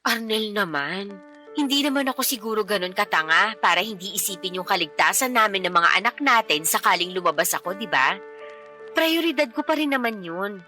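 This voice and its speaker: Bright highish voice, a worn-out young mom, sulky and emotional, soft music under. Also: female voice, lively intonation, fairly quick. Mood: pleading. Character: weary young mother, pregnant, insecure, sulky. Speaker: wife character in a radio drama. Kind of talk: radio drama acting.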